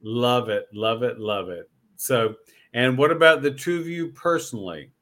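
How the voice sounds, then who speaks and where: A man's voice: mid-range voice, moderate pace, a bit bright. A man hosting a podcast as a casual chat, effusive and joking, often repeating his phrases. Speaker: podcast host.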